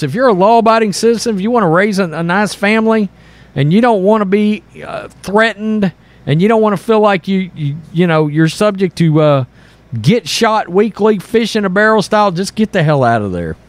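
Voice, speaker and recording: A man hosting an online commentary channel, reading and reacting to news in a blunt, sarcastic, exasperated way. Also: high voice. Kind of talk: opinionated political commentary mixed with reading news aloud.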